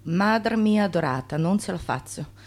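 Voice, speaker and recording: A woman's voice: on the low side, lively intonation, measured pace. A woman in a radio play voicing a jailed immigrant's proud, sorrowful letter home, earnest and dramatic. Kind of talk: radio theatre monologue, letter read aloud.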